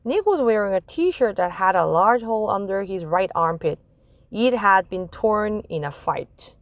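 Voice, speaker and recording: A woman's voice: mid-range voice, expressive, unhurried, dry recording. A woman narrating a children's chapter book as a read-aloud. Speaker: storybook reader.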